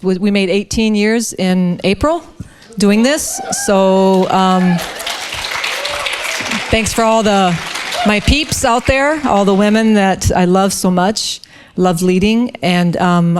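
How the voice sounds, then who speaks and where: A woman, a pastor informally introducing herself to an audience in a halting, understated way. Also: mid-range voice, background noise.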